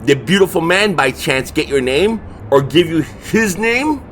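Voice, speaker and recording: A man plays a cranky brother in a comedy horror audio drama. His voice is high and swings widely in pitch. He is sarcastic and exasperated, with faint noise behind him. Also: expressive, measured pace, a bit bright.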